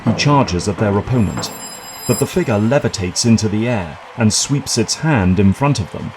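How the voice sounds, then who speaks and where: A man reading scripted dramatic narration for an audio drama in a measured, suspenseful manner. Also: fairly low pitch, lively intonation, noisy background.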